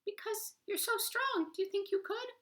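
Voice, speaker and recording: A woman storyteller voicing a tiny, clever female tree toad in a very high, polite voice. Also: moderate pace, a bit bright. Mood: coaxing.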